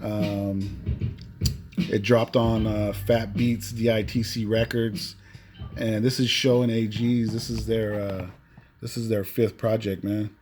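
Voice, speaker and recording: A man's voice: fairly low pitch, level tone, takes it slowly, darkish tone. A man hosting a casual, unscripted collector's vlog in a laid-back manner, often tagging phrases with "man.". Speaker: host showing off a cassette collection. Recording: music playing behind the voice. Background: music.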